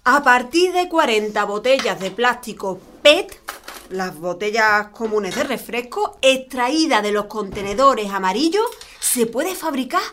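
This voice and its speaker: An actress playing a caring middle-aged mother with a warm, coaxing, chatty manner. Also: fairly high pitch, expressive, unhurried, slight background noise. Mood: cheerful. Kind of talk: comic family sitcom. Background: dinner table, clinking cutlery.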